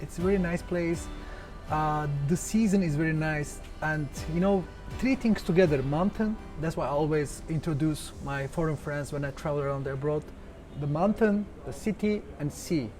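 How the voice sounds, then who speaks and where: A man with a Turkish accent talks about his home city in simple, halting English. He pauses often, restarts sentences and searches for the right words. Music plays clearly behind him. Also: on the high side, a little mellow. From Turkey, Antalya. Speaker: local guest. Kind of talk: casual interview answers.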